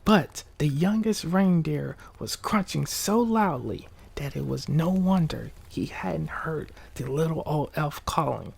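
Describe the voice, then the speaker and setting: A man hosting an ASMR bedtime story, speaking softly and often whispering, with a relaxed, informal manner. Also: fairly high pitch, a little slow, vocal fry, few pauses, breathy edge. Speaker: ASMR video host and storyteller. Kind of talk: ASMR bedtime story reading, casual asides.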